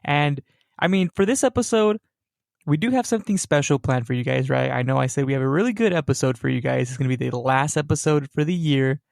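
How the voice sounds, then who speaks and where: Gravelly young man hosting a podcast. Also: mid-range voice, fast, dry recording, clean studio sound. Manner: rambling, many fillers and "right?". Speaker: podcast host. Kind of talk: casual podcast chat.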